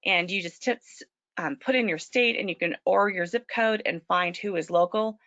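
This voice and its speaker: A woman presenting a small-business webinar, a little hoarse from allergies, often clearing her throat and saying um. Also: on the low side, normal speed, a bit bright, no room sound. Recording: dull lo-fi web call audio.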